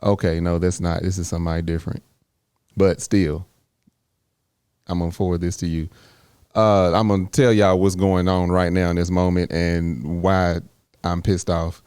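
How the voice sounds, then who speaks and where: A man's voice: low-pitched, little pitch movement, moderate pace, pauses often, a little mellow, clean tone, no echo. A man co-hosting a podcast in casual, plainspoken chat, laid-back and teasing. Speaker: podcast co-host.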